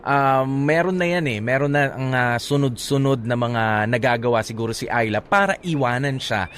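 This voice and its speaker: A man's voice: medium pitch, normal speed, a bit bright, slight background noise. A man with a Filipino accent co-hosting a radio show in a conversational style. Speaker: radio DJ co-host. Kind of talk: conversational advice and banter.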